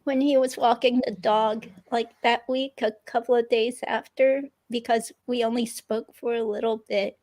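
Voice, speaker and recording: A woman's voice: on the high side, steady tone, normal speed, hardly any pauses, darkish tone, close to an everyday voice. A woman reading the part of a chatty, curious ex-girlfriend in a police interview, in casual, hesitant phrasing. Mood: casual, explaining.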